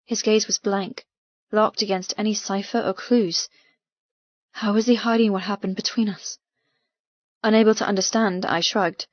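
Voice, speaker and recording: A woman's voice: mid-range voice, steady tone, normal speed, dry recording, lo-fi sound. A woman narrating a first-person novel as an audiobook, with a dramatic, intense delivery.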